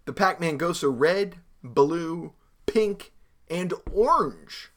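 A man co-hosting a trivia show, reading questions and answers in relaxed, casual chat. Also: fairly high pitch, slow. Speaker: trivia co-host.

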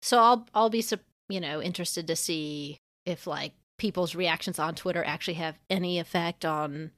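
A woman's voice: fairly low pitch, moderate pace, a bit bright, dry recording. A woman co-hosting a podcast in casual discussion, thinking aloud in a rambling, hedged way. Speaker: podcast co-host.

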